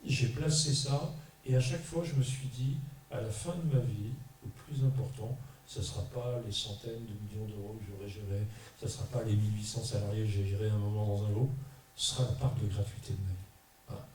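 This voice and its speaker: A man from France, a business leader, giving an earnest, deliberate testimony at a conference and urging his listeners. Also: fairly low pitch, steady tone, darkish tone. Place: large echoey parish hall. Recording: echoey hall, mic far off, faint noise.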